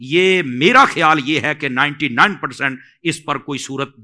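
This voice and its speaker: A man's voice: on the high side, moderate pace, bright, forceful tone. A man giving religious guidance as a scholar, in an admonishing, emphatic way that repeats phrases for stress. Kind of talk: religious question-and-answer discourse.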